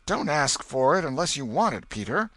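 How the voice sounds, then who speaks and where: Brief: sly, cheeky country boy, high and drawling, put on by a male narrator. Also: sing-song, moderate pace, fairly bright tone, no echo. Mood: coaxing.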